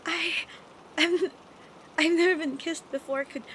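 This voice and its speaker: A woman plays a young best friend who is sweetly smitten, teasing and a little nervous. Her voice is high and soft, and she takes her time in a hesitant, close-up audio roleplay manner. Light, steady rain runs behind her. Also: unhurried, a little hiss or hum. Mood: flustered, nervous. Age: young adult. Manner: soft, hesitant, giggly, affectionate. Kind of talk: intimate roleplay audio acting, girlfriend-experience monologue.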